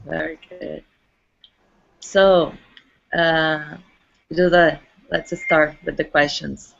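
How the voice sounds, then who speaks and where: An interview host who introduces the guest and asks questions with halting starts. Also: mid-range voice, flat delivery, vocal fry, a bit bright. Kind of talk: interview hosting. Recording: muffled lo-fi recording.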